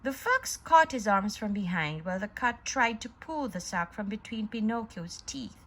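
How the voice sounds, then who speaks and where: A woman reading a children's story aloud in an expressive, deliberate storyteller's manner. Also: mid-range voice.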